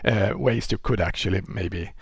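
Swedish-accented man lecturing, husky and dry. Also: fairly low pitch, moderate pace, dry recording. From Sweden. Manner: relaxed explaining, with frequent restarts and fillers. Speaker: presenter. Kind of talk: technical lecture. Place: indoor room. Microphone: headset.

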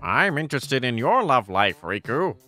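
A woman voicing a nosy, playful teenage school friend in a manga dub, teasing and expressive. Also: a bass voice, moderate pace, no room sound, faint music behind, heavily acted.

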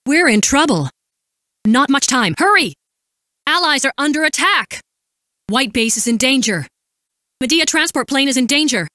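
A composed army supply officer lady for a game, high voice, really animated, commanding. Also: normal speed, pauses often, dry recording, clean studio sound. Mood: businesslike, alert, then urgent. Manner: crisp, formal, commanding. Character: composed, dutiful woman military supply officer. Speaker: video game voice actor. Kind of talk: military radio briefings and battle callouts.

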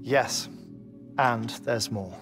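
An actor playing an earnest, grave middle-aged scientist in a video game, speaking in a measured, precise, expository way. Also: male voice, mid-range voice. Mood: grave, purposeful. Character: bespectacled scholarly male scientist, earnest and grave. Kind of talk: scripted cinematic game acting. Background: music.

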